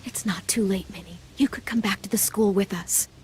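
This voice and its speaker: A woman's voice: mid-range voice, brisk, a little mellow, slight background noise, close to an everyday voice. A woman playing a determined, caring teenage girl survivor in a game drama, speaking earnestly and firmly. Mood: pleading. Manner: earnest, firm. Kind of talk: video game dramatic acting.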